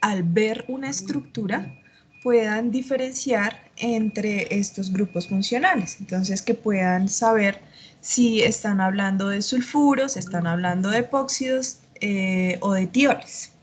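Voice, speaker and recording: A woman's voice: mid-range voice, unhurried, slight background noise. Woman tutor with a sore throat. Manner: patient, explanatory, frequent filler sounds. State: slight cough, sore throat. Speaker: chemistry tutor. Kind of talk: online tutoring lecture. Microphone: computer mic on a video call.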